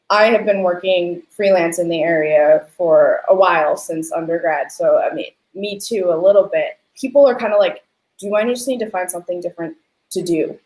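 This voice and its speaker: A woman's voice: medium pitch, darkish tone. Young woman hosting, echoey room. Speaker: panel host. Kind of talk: conversational panel moderating. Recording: echoey room on video call.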